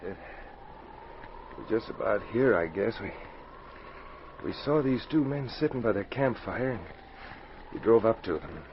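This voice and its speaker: Dull hissy old radio, remorseful betrayed outlaw, very low voice, halting, earnest. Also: male voice, animated. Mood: confessional, regretful. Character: shaken, remorseful outlaw, betrayed by his partner. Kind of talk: 1940s radio adventure acting.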